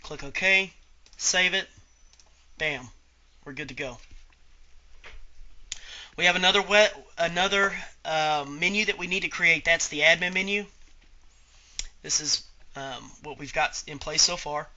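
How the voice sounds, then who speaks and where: A man giving an informal step-by-step software tutorial as an instructor, talking through each click aloud. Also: a little high, unhurried, pauses often, dull audio. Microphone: voice-over on a screen recording.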